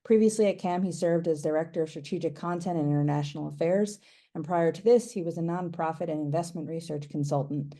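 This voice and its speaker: A woman's voice: deep voice, steady tone, fast talker, warm tone. A woman hosting a video call, reading formal introductions in a polished way. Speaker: host.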